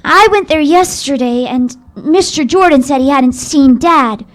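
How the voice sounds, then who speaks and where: Woman playing an earnest, high-voiced young boy. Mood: hesitant, worried. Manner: halting, slangy, gee-whiz exclamations. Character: earnest young boy, loyal son, slangy and worried. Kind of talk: old-time radio drama acting before a live audience. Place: live stage performance in a hall.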